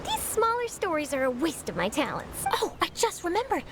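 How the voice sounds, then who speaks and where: Excitable, very high-pitched young reporter. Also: female voice, brisk, background noise, full character voice. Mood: eager, ambitious. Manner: bubbly, emphatic, dramatic. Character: eager young female newspaper reporter, excitable and ambitious. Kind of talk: English video game voice acting. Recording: loud game music behind the voice.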